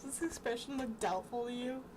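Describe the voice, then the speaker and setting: A woman's voice: fairly high pitch, brisk. A young woman giving casual, wry commentary in an offhand way. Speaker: storytime co-host commenting on pictures. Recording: faint background noise.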